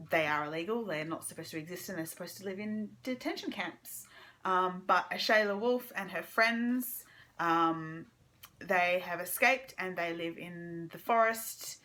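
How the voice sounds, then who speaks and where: Bright-voiced woman chatting about casually. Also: on the low side. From Australia. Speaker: book reviewer vlogger. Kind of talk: casual chat to camera.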